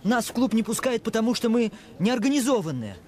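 An actor in a radio play voicing an earnest, gentle schoolboy who explains things eagerly. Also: medium pitch, sing-song, fairly quick, close to an everyday voice. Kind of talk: Russian radio play acting.

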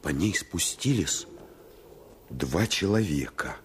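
A male storyteller in a radio drama. His voice is deep and moves widely in pitch. He delivers scripted adventure narration with measured suspense. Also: lively intonation, slight background noise. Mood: suspenseful, urgent.

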